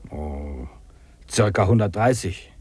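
An actor playing a determined, impatient wilderness hiker with a husky voice in 1950s radio drama dialogue. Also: male voice, sits low, lively intonation, takes it slowly, darkish tone, no echo, full character voice.

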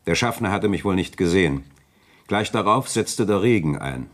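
A suave provincial notary talks in a low register. He is articulate and self-assured, with an urbane, slightly condescending manner in radio drama style. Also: expressive, moderate pace. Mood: smooth, explaining. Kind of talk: German radio play acting.

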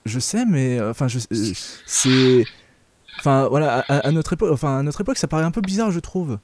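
A man's voice: medium pitch, lively intonation, a little fast, darkish tone, a little hiss or hum. A man from France hosting a casual film review chat, hesitant and opinionated, with many fillers and restarts. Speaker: film review show host.

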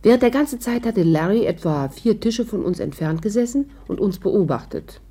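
Woman narrator, dark husky timbre, lowish pitch, scripted radio drama storytelling. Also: animated, moderate pace. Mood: reflective. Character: adult woman recounting her own adventure.

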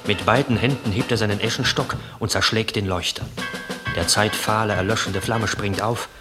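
An actor playing the grave narrator of a nightmarish radio play, reading dramatic scripted stage scenes. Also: male voice, fairly low pitch, fairly even intonation, moderate pace, over music, close to an everyday voice. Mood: urgent.

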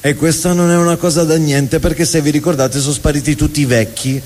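A man's voice: a little high, fairly even intonation, fast talker, a little mellow. A man performing a theatrical comic storytelling monologue on a live stage. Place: indoor stage at a live event. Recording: reverberant hall, live stage.

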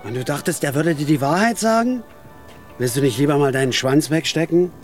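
A man's voice: a little high, fairly quick, faint music behind, close to an everyday voice. A man voicing a cold, sardonic hitman narrator. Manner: dry, cool, sardonic. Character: cold, hard-boiled male hitman narrator. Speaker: first-person narrator. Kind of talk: radio drama narration.

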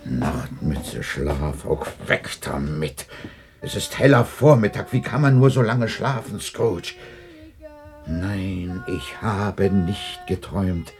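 An actor playing a gruff old miser full of anguish and repentance, husky and emphatic, in a 1960s German radio play. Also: male voice, deep voice, lively intonation, takes it slowly, darkish tone, faint music behind. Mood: quiet gratitude. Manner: dramatic, emphatic.

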